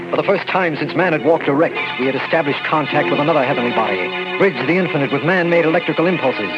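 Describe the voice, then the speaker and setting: An actor playing a male lab assistant who narrates his story in suspenseful, hushed tones in a 1940s radio drama. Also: medium pitch, close to an everyday voice. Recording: dull old radio recording under loud music.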